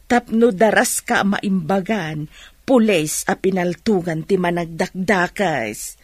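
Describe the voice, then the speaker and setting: A woman with an Ilocano accent acting in a radio drama as a worried, caring mother, with a husky voice. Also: on the low side, slow pace, dry recording.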